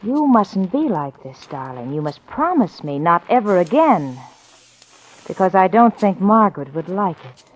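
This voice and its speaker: Cool, evasive bride, lively pitch. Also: female voice, measured pace, rough recording. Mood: cold, menacing. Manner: smooth excuses, pointed endearments. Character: cool, evasive, controlling young bride with veiled menace. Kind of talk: 1940s radio acting.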